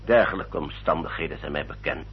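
Deep-voiced, deferential family solicitor. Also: male voice, brisk. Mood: agreeable, deferential. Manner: brief, formal, agreeable. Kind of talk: old radio drama acting. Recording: dull old radio recording, faint hum.

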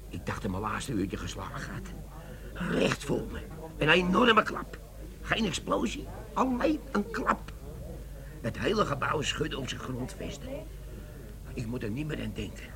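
An actor playing a gruff, bossy army bomb-disposal sergeant in a Dutch radio play. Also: male voice, mid-range voice, sing-song, a little slow, pauses often, crowd noise. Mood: storytelling, dramatic.

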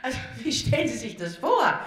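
A woman's voice: a little high, big pitch swings, a little fast. An actress playing an exasperated care-home manager, official and slightly flustered as she addresses a group. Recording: echoey meeting room.

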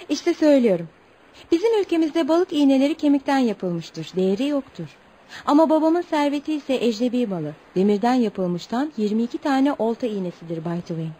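A woman's voice: a little high, animated, normal speed, mellow, slightly rough. An actress playing an earnest, naive and proud young woman telling her story politely in a radio play. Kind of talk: radio theatre acting.

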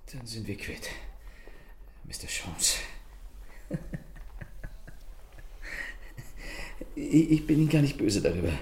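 A man acting a suave, theatrical gentleman thief in a German radio drama, mockingly polite and amused even when cornered, with breathy asides. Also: medium pitch, normal speed, a little hiss or hum, lightly acted. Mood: exhilarated.

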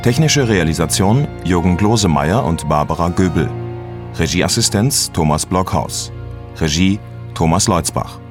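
A male radio announcer reading the play's credits in a measured, formal manner. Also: deep voice, animated. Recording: announcement over music.

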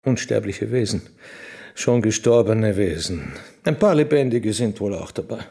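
An actor playing an eccentric, fearful, brooding genius logician in a radio drama, husky, with a musing, earnest and odd manner. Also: male voice, on the low side, a little slow, warm tone, exaggerated voice. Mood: paranoid, earnest.

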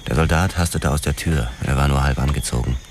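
An extremely deep male voice: a hard-boiled cop telling his case in sober, controlled radio noir style. Noisy ambience sits clearly behind the grim narration. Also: fast talker. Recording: clear background noise and ambience.

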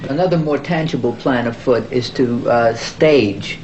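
A man talks in a halting, deliberate way as if setting out plans in an interview. His pitch is middling. It is a sixties television archive recording with faint noise underneath. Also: darkish tone, muffled recording. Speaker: newspaper co-editor.

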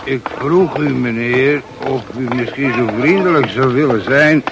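An actor playing a gravelly drunk sailor. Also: male voice, medium pitch, a little slow, lightly acted. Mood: insistent. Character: drunk sailor asking directions. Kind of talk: 1960s radio play acting. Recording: noisy old radio play recording.

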